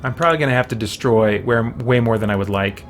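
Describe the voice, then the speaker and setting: A man's voice: medium pitch, fast talker, soft background music. A man doing casual game livestream commentary, thinking aloud and muttering short remarks to himself as he chats to viewers.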